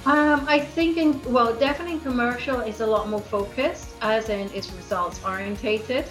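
A woman's voice: on the high side, moderate pace, over music, low-quality recording. A woman with a mixed international accent from Scottish and Norwegian roots, chatting as a guest scientist in a podcast interview, laughing often and using many fillers. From Norway.

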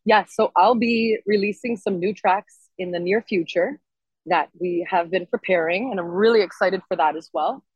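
A woman's voice: mid-range voice, moderate pace, husky, no room sound, highs cut off. A woman singer-songwriter chatting casually and enthusiastically as an interview guest. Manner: enthusiastic, expressive.

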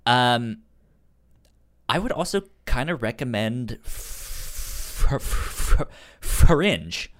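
A man co-hosting in casual chat, halting and hesitant, with a stutter that repeats sounds and words. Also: medium pitch, animated. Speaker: podcast co-host. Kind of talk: casual chat recommending comics and shows.

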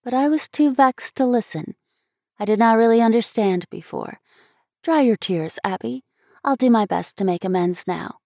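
A woman's voice: on the high side, moderate pace, creaky, darkish tone, no echo. An earnest, kind-hearted schoolgirl from a storybook, voiced by a woman, impulsive in the way she speaks. The recording is dry. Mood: regretful, kind. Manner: earnest, impetuous.

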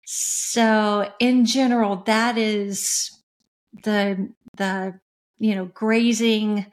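A middle-aged woman from West Tennessee with a soft voice, telling the story of her career as an interview guest, with pauses, fillers and restarts. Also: mid-range voice. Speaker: interview guest, music industry coach. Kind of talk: reflective conversational storytelling.

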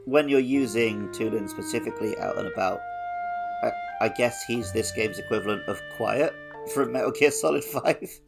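Deep gravelly man chatting casually. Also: moderate pace, over music. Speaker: podcast co-host. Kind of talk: casual discussion of a video game.

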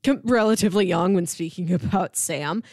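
A woman's voice: on the low side, normal speed, a little mellow, dry recording, studio quality. A woman co-hosting a casual pop-culture podcast, explaining her thoughts in a conversational way. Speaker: podcast host.

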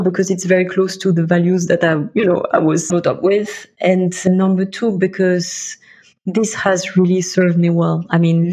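A middle-aged woman from Bulgaria, a guest in an interview, answering in a reflective, measured way and laying out her points one by one. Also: fairly low pitch, dark tone. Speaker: guest, investment partner.

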